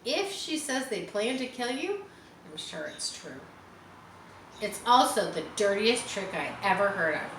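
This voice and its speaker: A woman's voice: mid-range voice, very animated, a little slow, fairly bright tone, echoey room, slight background noise, low-quality recording. Woman as a composed, sweet, musical lady spider. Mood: calm, firm. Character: calm female spider, sweet and sensible.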